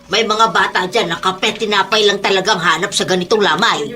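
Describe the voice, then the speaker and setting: An actress with a Filipino accent playing a loud, fussy, thrifty middle-aged mother in a radio drama. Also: medium pitch, fairly quick, lightly acted. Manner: loud, fussing. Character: noisy, fussy, frugal mother of a grown son.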